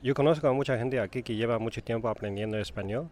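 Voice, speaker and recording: A man's voice: mid-range voice, fast, a little mellow, a little hiss or hum. A man interviewing a guest in a casual conversation, asking friendly, probing questions with occasional hesitant fillers.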